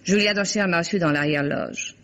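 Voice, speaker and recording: A woman's voice: lowish voice, fast talker, fairly bright tone. An actress playing a proud, haughty society woman from Spain in an emphatic vintage French radio mystery drama. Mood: earnest, dramatic. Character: proud, theatrical Spanish society woman named Carmen, accused. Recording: dull old lo-fi radio recording.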